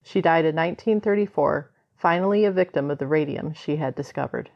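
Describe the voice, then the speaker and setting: A woman acts as a radio play's narrator. Her voice is on the low side, grave and oratorical, full of solemn admiration. The recording is slightly dull. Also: fairly quick, vocal fry. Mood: solemn, admiring. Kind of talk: dramatic old-time radio narration. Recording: slightly dull, band-limited sound.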